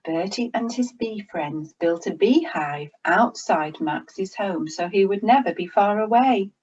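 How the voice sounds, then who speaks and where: A woman reading a children's story aloud in a slow, expressive storytelling manner. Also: medium pitch, breathy edge, muffled recording.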